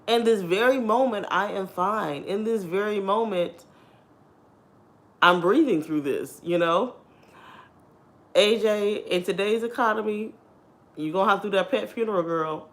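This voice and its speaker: A woman in her thirties with a deep, husky voice, talking candidly to her viewers in a casual chat and laughing often. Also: a little slow, pauses often. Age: 30s. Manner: chatty and candid, laughs often, addresses viewers as "girl" and "y'all". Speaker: vlogger.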